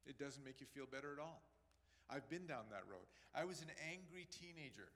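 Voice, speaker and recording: A man's voice: mid-range voice, expressive, a little fast, fairly bright tone. A man in his sixties, born in Pakistan, preaching a sermon in an earnest, conversational way with asides to his congregation. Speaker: pastor. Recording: long hall reverb.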